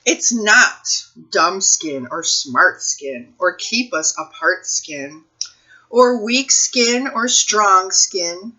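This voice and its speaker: A woman speaking as a children's librarian at a read-aloud storytime, expressive and addressing young children and families. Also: mid-range voice, very slow, low-quality recording. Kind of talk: picture book read-aloud and talk to families.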